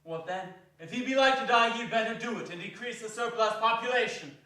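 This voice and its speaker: A man playing a solemn, stern Christmas spirit in a dramatic stage reading, portentous and measured. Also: very high voice, pushed and bright. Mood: stern rebuke. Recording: echoey hall, distant mic, faint noise.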